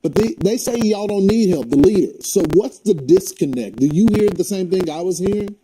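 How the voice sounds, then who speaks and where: A man's voice: sits high, expressive, moderate pace, heavy background noise. A man interviewing a guest, pressing her with pointed questions. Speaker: interviewer, reporter.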